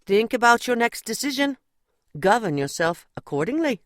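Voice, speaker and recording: A woman's voice: fairly high pitch, big pitch swings, normal speed, dry recording, studio quality. A woman playing a stern, formal, authoritative mother superior in an audio drama.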